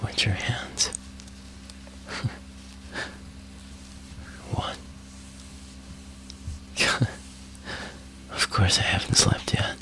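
A man whispering softly in a teasing way, with breathy laughs, in an intimate ASMR roleplay. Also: drawn-out words, pauses often, rough recording.

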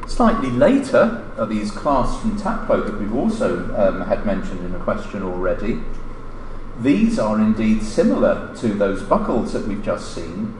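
Guy reading a scholarly paper at a lectern, measured with pauses, really animated pitch, echoey room w a bit of noise. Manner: measured, scholarly, pausing mid-phrase. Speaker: academic lecturer reading a colleague's paper. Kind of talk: formal conference lecture read from a paper. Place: indoor lecture hall, at a lectern. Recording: echoey lecture room, distant mic, background noise.

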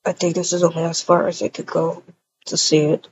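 A woman voicing a calm, quiet young man in a voiced game roleplay, speaking low and mumbled on a dull lo-fi mic. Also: fairly quick, background noise, lightly acted. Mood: uneasy. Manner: quiet, mumbled, laid-back.

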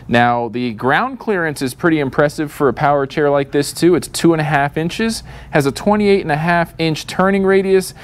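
A man in his thirties, a store host, walking through product specs in an upbeat sales manner in a showroom. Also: mid-range voice. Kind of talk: product walkthrough. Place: showroom full of scooters.

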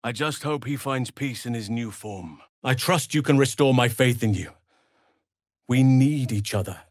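Male actor as big elf druid, fantasy game, calm, earnest, measured, slow pace, dry recording. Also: medium pitch, dry recording, natural voice. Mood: calm, solemn.

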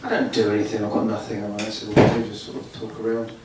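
A man's voice: on the low side, completely flat delivery, quick pace. A man in his thirties doing improvised stand-up crowd work, rambling and exasperated, repeating his phrases. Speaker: stand-up comedian. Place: small indoor comedy club stage. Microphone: handheld stage mic, recorded from room. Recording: echoey comedy club, distant camera, loud crowd noise. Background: audience laughter, noise.

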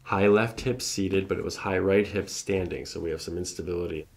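A man, middle-aged, working as a chiropractor, calmly talking a patient through each step of an exam in a small office. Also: sits low, brisk, creaky. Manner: explains each step before doing it. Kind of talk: calm clinical exam talk to a patient. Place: small carpeted clinic office.

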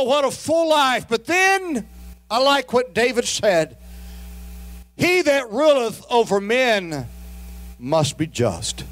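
A man's voice: very high voice, fairly bright tone. A middle-aged man preaching a sermon as pastor, emphatic and calling out to his congregation.

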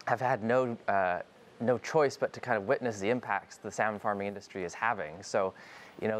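A man's voice: on the low side, fairly bright tone. Earnest young man in a studio interview. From Canada. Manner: earnest, many fillers. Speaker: guest filmmaker. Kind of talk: remote interview. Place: newsroom studio. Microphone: lapel.

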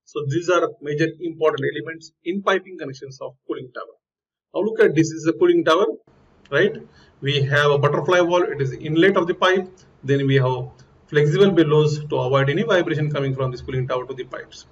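A man's voice: a little high, quick pace. A middle-aged man, explaining piping over slides in an earnest, step-by-step way, recorded in a small room on a lapel mic. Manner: earnest, explains step by step, pointing things out on diagrams. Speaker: HVAC instructor. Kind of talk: technical lesson over slides. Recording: dull lo-fi audio with faint noise.

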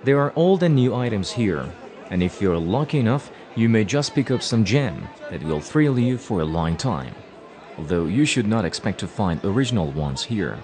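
A man's voice: on the low side, big pitch swings, moderate pace, darkish tone, a faint crowd. A man reading scripted narration as the reporter of a television travel feature.

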